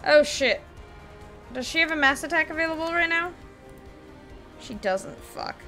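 A woman's voice: high-pitched, bright, forceful tone. Gleeful young woman streaming a game. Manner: gleeful, laughs often, swears casually. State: voice slightly strained. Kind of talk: casual gameplay commentary. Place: small room at a gaming desk. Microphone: desk mic, webcam at computer. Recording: game music faintly behind.

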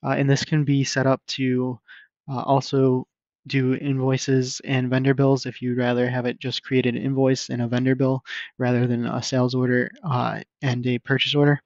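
Man, very monotone, casual software tutorial narration, informal and hesitant, dry dull lo-fi recording. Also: medium pitch, normal speed, dry recording. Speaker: software demo presenter. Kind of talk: casual screen-share software walkthrough.

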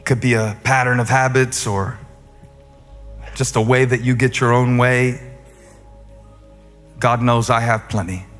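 A man's voice: mid-range voice, fairly even intonation, a little slow, pauses often. A male pastor delivering a sermon and prayer with rhythmic repetition that builds to emphatic peaks. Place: large church auditorium. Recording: long reverb of a large hall, soft music underneath. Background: faint music.

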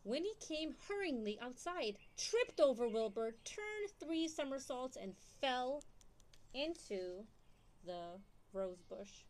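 Slow-reading young woman, storytime voice. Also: high voice. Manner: expressive, asks listeners questions. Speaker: storytime reader. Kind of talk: picture-book read-aloud for young children.

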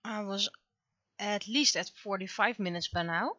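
A woman with a bright, forward voice talks casually as she draws on a livestream. She muses half to herself and lets thoughts trail off. The recording is dry and close, a little dull. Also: mid-range voice, moderate pace, no echo. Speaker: livestreaming webcomic artist. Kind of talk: casual livestream chat while drawing.

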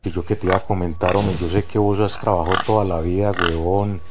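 A Colombian man from the paisa side talks in a fairly low voice. He is a coarse, joking prank caller who swears freely and chats in thick slang. Loud noise and clicks run through the recording. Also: fairly even intonation, fairly quick. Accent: Colombian, Medellín paisa. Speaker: prank caller, show host. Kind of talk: casual slangy phone prank chat.

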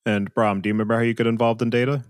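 A man's voice: mid-range voice, flat delivery, very fast, no echo. A man hosting a podcast interview, asking his guests short, plain questions. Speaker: podcast host.

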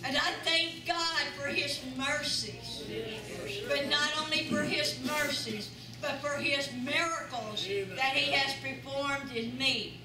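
A woman's voice: sits high, slow, crowd noise. An older woman gives an earnest, emphatic testimony in a church, repeating phrases for weight. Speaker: church member giving testimony. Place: large church sanctuary with audience. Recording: echoey sanctuary, voice from far off, noisy.

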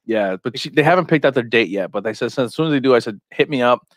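A man's voice: mid-range voice, lively intonation, fast, no room sound, clean studio sound. An American man chatting casually on a podcast, telling rambling, profanity-laced stories. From Pennsylvania, US. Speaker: podcast host. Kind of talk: casual chat, anecdotes. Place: small curtained podcast room. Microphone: podcast microphone, headphones.

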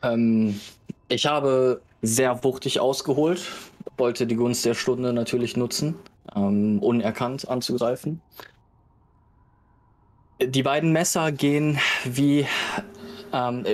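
A man's voice: mid-range voice, a little mellow. A man playing a tabletop game, talking casually and hesitantly.

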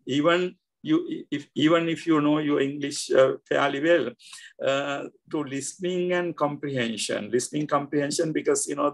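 An older man with a Sri Lankan accent, speaking as an interview guest over a video call, halting with many fillers and restarts. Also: a little high, low-quality recording. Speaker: guest scholar. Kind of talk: reflective interview talk. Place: indoor home room.

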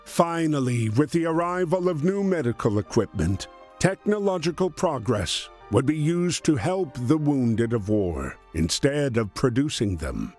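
A man performing as a solemn storyteller, narrating a wartime adventure game in a measured, grave, expressive way. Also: mid-range voice. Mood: solemn storytelling. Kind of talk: scripted dramatic storytelling narration. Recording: music under the voice.

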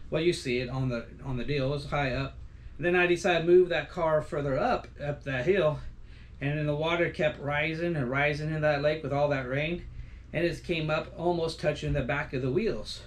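A middle-aged man chatting loosely at home for his outdoor vlog, folksy and full of restarts and fillers. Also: on the high side. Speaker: outdoor vlogger. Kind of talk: rambling casual vlog chat. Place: small decorated home room, indoors.

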